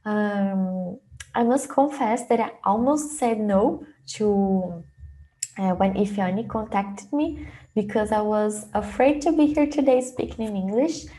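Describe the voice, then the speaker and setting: A young adult woman from Brazil, a native Portuguese speaker with a Brazilian accent, presenting an informal online webinar with hesitant, halting phrasing. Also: medium pitch, mellow, rough recording. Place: small indoor room, video call.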